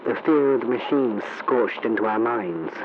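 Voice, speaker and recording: A man's voice: medium pitch, expressive, natural voice. An actor playing a grave, shaken male war reporter giving a solemn, formal eyewitness account in a 1930s radio broadcast style. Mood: awed, haunted. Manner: solemn, deliberate, formal narration. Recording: noisy, muffled vintage radio recording.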